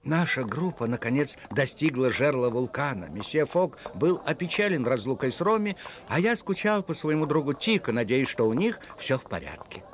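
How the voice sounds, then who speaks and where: A man's voice: medium pitch, big pitch swings, moderate pace, few pauses, lightly acted. A man voicing a loyal animal companion who narrates a cartoon adventure in an earnest, scripted storytelling style. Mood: earnest, wistful.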